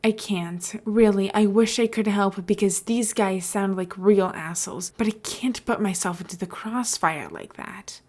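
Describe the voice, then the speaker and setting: A woman's voice: mid-range voice, measured pace, few pauses, a little mellow, close to an everyday voice. A woman reading the part of a wary, overwhelmed young man in a story, hesitant and informal. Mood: reluctant.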